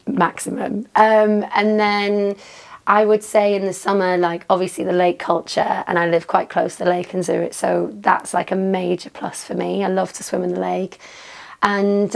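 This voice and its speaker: A woman's voice: medium pitch, level tone, normal speed, creaky. Chatty Geordie woman with filler words. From Newcastle, England. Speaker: interview guest, DJ. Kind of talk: casual interview answers.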